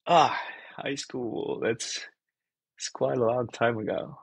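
Gravelly, husky young man on a video call. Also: medium pitch, fairly even intonation, slow pace, no echo. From Indonesia. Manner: rambling, thinks aloud with many fillers and restarts. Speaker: guest, PhD researcher. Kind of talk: casual video-call interview. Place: small bedroom-like room.

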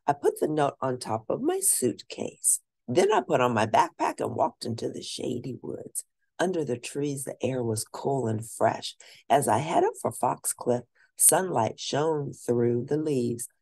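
A woman reading a children's book aloud as an expressive storyteller, stressing and stretching words for drama. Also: very deep voice, gravelly, husky. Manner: animated, dramatic emphasis with drawn-out words.

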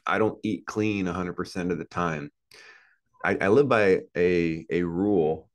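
Deep-voiced man on a video call. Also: unhurried. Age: 30s. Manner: earnest, repetitive, motivational. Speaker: podcast guest. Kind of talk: casual interview talk. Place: indoor room with a plant and a couch.

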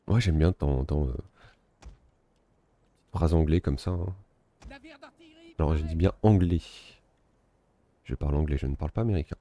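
A man giving relaxed, self-mocking commentary while playing a strategy game, thinking aloud. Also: very deep voice, normal speed, pauses often, lo-fi sound. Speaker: gaming video host. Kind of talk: casual let's-play commentary.

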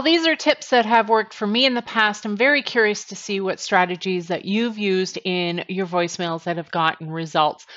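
A woman's voice: fairly low pitch, fairly bright tone. A woman in her forties giving instructional tips to camera as a trainer, stressing each point step by step. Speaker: sales trainer presenting tips. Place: small attic bedroom, indoors. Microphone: headset. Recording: dull, lo-fi headset sound.

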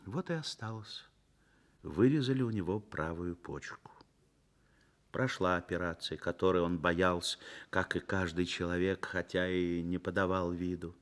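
A man narrating a Soviet radio drama as an earnest literary storyteller, expressive and measured, swelling and dropping to a hush. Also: low-pitched, natural voice. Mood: earnest, reflective.

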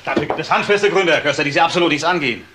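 An actor playing a haughty, ambitious, indignant suspect in a crime drama, answering with defensive bluster. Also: male voice, on the high side, rapid-fire, noisy background, natural voice. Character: indignant, haughty, ambitious doctor of business, adult male suspect.

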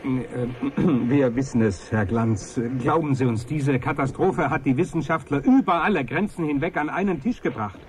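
Actor as diplomatic male news presenter, medium pitch, measured, formal, 1980s radio play, faint noise. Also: natural voice. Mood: placating. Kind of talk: acted radio news broadcast.

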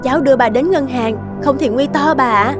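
A woman's voice: sits high, normal speed, close to an everyday voice. A woman voicing a timid, anxious young housemaid in a cartoon, polite and hesitant. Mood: uneasy. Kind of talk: cartoon voice acting. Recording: faint music behind the voice.

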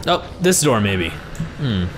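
A young man with a hoarse voice, commentating a horror game he is playing, swearing and reacting to scares. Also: medium pitch, very animated, slow, noisy background. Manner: reactive, swearing, jumpy exclamations. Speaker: gaming YouTuber. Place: small room at home. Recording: game music behind the voice.

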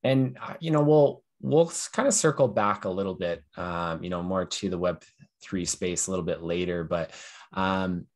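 Deep-voiced young male podcast host. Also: normal speed. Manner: relaxed, leans on "you know" and "um". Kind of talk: casual interview talk. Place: small room at home. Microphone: video call.

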